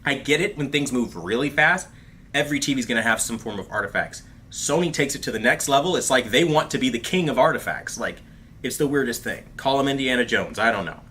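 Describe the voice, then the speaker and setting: A man chats with his livestream audience in a loose, animated manner, full of fillers and friendly asides. His voice is bright and forceful, and the recording has a faint bed of background noise under it. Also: medium pitch, brisk. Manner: chatty and animated, lots of fillers, calls viewers bro and dude. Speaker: host of a TV review livestream. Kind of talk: casual livestream chat reading viewer comments.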